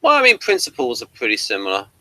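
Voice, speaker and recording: British male co-host, bright tone, dry sound, informal and meandering reviewer. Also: medium pitch, huge pitch swings, fast, no room sound. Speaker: podcast co-host. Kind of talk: casual review discussion.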